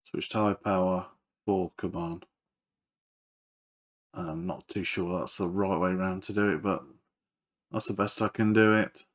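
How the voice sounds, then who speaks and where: A man's voice: low-pitched, flat delivery, unhurried, long pauses. A man giving casual, hands-on repair commentary as he works, hesitant and thinking aloud. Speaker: electronics repairer presenting a video.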